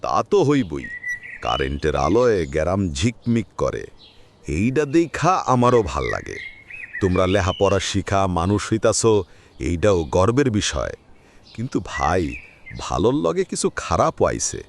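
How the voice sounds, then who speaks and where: A man's voice: low-pitched, sing-song, normal speed, creaky, a little hiss or hum, exaggerated voice. An actor playing a frail, nostalgic old village grandfather in a cartoon, with a rural Bangladeshi accent. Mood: proud, then aggrieved. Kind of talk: cartoon drama dialogue.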